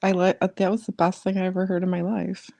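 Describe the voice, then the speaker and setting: A woman calling in to a radio show who asks questions haltingly, often repeating and restarting her words. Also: on the low side, flat delivery, vocal fry, mellow, no echo. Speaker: caller. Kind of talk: casual call-in radio chat.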